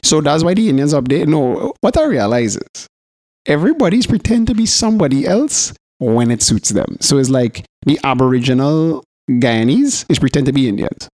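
A man with a Trinidadian accent co-hosting a podcast, bantering in casual slang and telling stories in an animated, coarse, sweary way. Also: mid-range voice, moderate pace, dry recording, studio quality. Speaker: podcast co-host.

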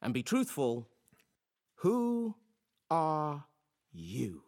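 An actor playing a forceful philosophy professor in an audio drama, lecturing in an emphatic, theatrical and blunt manner. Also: mid-range voice, wildly swooping pitch, extremely slow, full character voice. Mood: commanding.

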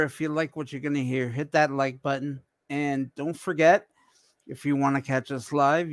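A man hosts a casual podcast with a slightly high voice and an unhurried, drawn-out delivery. He stops and repeats words as he goes. The sound is dry and close. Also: no room sound. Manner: slow, halting, repeats words. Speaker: host.

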